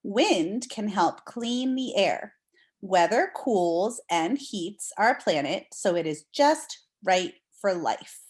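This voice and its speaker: Woman teacher with very lively pitch. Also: slow. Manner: simple explanatory teaching to young children, with check-in questions. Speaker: elementary teacher reading aloud. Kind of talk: children's read-aloud lesson with explanations.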